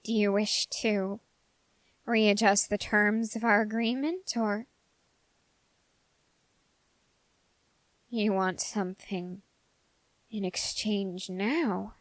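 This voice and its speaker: A woman voicing an ambitious, dark-hearted young man in an ASMR roleplay, speaking in formal, halting phrases with drawn-out pauses. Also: mid-range voice, dry recording, lightly acted. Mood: scheming, bargaining. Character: ambitious, dark-hearted young male human bargaining with a demon.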